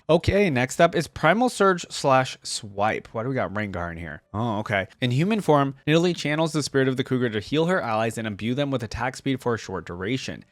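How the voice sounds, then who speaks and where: A man hosting a reaction video, reading text aloud and commenting casually as he thinks out loud. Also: lowish voice, no room sound.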